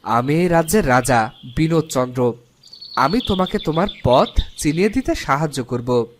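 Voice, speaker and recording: A man's voice: on the high side, lively intonation, moderate pace, a little hiss or hum, lightly acted. A man voicing an upright, authoritative king in a fairy-tale cartoon, formal and commanding. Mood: stately.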